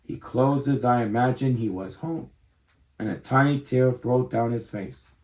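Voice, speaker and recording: A man in his thirties narrating a children's storybook aloud in an expressive way. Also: medium pitch, moderate pace. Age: 30s.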